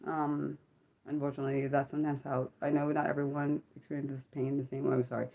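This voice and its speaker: A woman in her thirties talks slowly through a personal livestream from home, her voice low and mellow. She pauses often, fills gaps with ums and keeps correcting herself with small apologies. Her voice has a slightly sick edge. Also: flat delivery, gravelly, low-quality recording. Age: 30s. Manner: halting, many ums and apologetic self-corrections. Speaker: livestream host. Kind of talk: personal reflective livestream talk.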